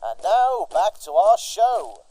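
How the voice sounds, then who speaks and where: Very high, mellow man announcing, noisy recording. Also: big pitch swings, slow pace. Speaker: show announcer. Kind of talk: scripted mock advert announcing.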